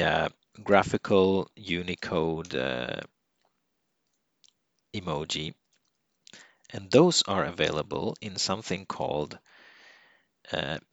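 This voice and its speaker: A man from Sweden, a native Swedish speaker, talking through a software setup step by step, halting often with fillers and restarts. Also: low voice, creaky, no echo. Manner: explanatory, halting with frequent "uh" and restarts. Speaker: software tutorial presenter. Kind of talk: unscripted screen-recording walkthrough.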